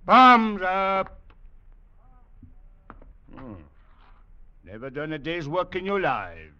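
An actor playing a brutal, sadistic Foreign Legion sergeant with a French accent, menacing and sneering in an old radio drama. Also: male voice, a little high, pushed and bright, exaggerated voice. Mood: barking, contemptuous. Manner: menacing, sneering, barking orders. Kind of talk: 1940s-50s radio drama acting. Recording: dull vintage radio recording.

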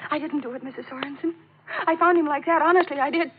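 An actress playing a distraught, innocent young woman in a 1940s radio melodrama, breathless, halting and pleading. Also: sits high, fairly even intonation, slight background noise, natural voice. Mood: desperate pleading. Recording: muffled vintage radio recording, organ music.

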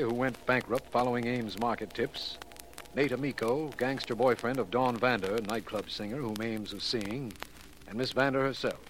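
A man's voice: medium pitch, close to an everyday voice. A man acting an earnest, formal district attorney in a 1940s radio mystery. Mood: formal recap. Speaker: radio actor. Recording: faintly noisy vintage 1940s radio recording.

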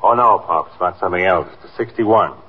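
Low husky easygoing detective. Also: male voice, fast. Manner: casual, offhand, laconic. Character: easygoing, weary, kindly police detective. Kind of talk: 1950s radio drama acting. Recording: dull, lo-fi 1950s radio recording.

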